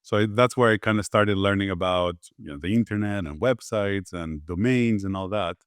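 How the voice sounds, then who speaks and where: A man from Greece, a podcast guest, giving relaxed conversational answers with frequent fillers and restarts. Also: fairly low pitch, normal speed, clean tone, no echo, clean studio sound. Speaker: podcast guest, agency founder.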